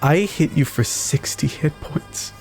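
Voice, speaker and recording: A man's voice: on the high side, unhurried, darkish tone, soft background music. A man taking part in a tabletop game, casually narrating what his character does.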